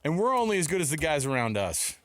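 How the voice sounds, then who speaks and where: A man's voice: fairly high pitch, very animated, fast, a bit bright, dry recording. A man co-hosting a casual sports talk radio show, speaking close to a studio mic.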